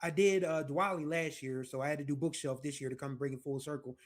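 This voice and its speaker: An American man in his forties talks through a music episode in a loose, chatty way, wandering into asides and correcting himself as he goes. His voice sits a little higher than most men's. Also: steady tone, quick pace. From United States. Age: 40s. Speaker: music podcast host. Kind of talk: casual music commentary.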